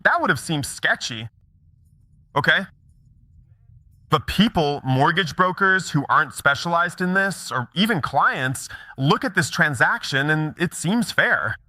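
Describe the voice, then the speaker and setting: A man's voice: a little high, animated, a little slow, sharp tone, no echo. A middle-aged man telling stories in a conversational conference talk, often checking in with the audience. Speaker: mortgage broker giving a conference talk.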